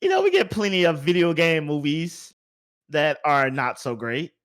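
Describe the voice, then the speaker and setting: A man's voice: fairly high pitch, sing-song, moderate pace, fairly bright tone. A man hosting a podcast, chatting casually about movies in an informal, emphatic way. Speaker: podcast host.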